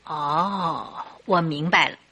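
An actress playing Mrs. Hudson, a sympathetic and curious housekeeper, in an exclamatory old-fashioned radio drama style. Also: female voice, deep voice, slow, slightly rough, exaggerated voice. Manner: exclamatory, expressive.

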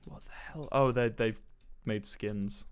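A man's voice: lowish voice, fairly even intonation, slow, clear, resonant tone. A man live streaming a game, chatting casually with jokey, sweary banter. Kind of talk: casual gaming chat.

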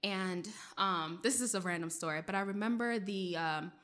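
Young woman from maryland, french was her first language, bright clear voice, chatty and laughs a lot. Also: mid-range voice, normal speed. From Maryland, USA. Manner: chatty, many fillers, laughs often. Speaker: journalist, guest. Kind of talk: casual interview storytelling.

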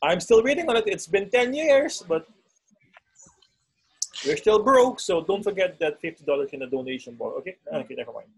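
A man's voice: high voice, expressive, normal speed, slightly rough. A man co-hosting a casual podcast, joking and laughing at his own jokes. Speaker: podcast co-host.

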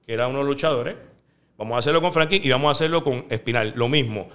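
A man with a Puerto Rican Spanish accent, a sports journalist telling stories in an interview and acting out the quotes. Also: mid-range voice. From Puerto Rico. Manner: animated, fast storyteller who acts out quotes. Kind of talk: interview storytelling.

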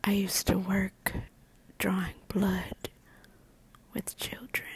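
A middle-aged woman hosting a podcast, talking in a soft, soothing ASMR whisper and repeating words as they fade away. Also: mid-range voice, completely flat delivery, slow pace, husky, dry recording. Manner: soft unvoiced whisper throughout. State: nervous. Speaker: podcast host.

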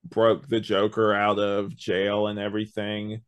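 Male podcast co-host, deeper voice, loose conversational delivery, opinionated and wandering. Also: monotone, measured pace, clear, resonant tone. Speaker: podcast co-host reviewing an audio drama. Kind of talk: casual chat.

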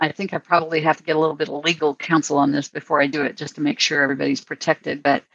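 Low-voiced older woman podcast host. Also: fairly bright tone, no echo. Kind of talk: casual podcast chat. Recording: dull dry lo-fi audio.

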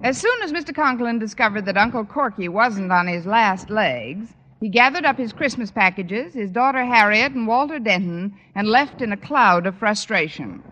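A woman's voice: medium pitch, pushed and bright, highs cut off. An actress playing a sarcastic single woman with dry wisecracks in a 1940s radio comedy. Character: wry, sarcastic single woman, Miss Brooks. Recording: reverberant, noisy vintage radio recording.

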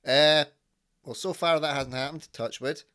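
A man's voice: fairly high pitch, steady tone, measured pace, a bit bright. A Scottish man in his thirties hosting a casual interview podcast, joking and self-deprecating as he talks. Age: 30s. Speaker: podcast host.

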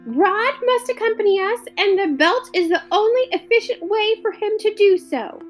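Lady doing a squeaky bossy alien captain, barking orders, storybook. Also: lively intonation, moderate pace, soft background music. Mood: stern. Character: brisk commanding male alien captain.

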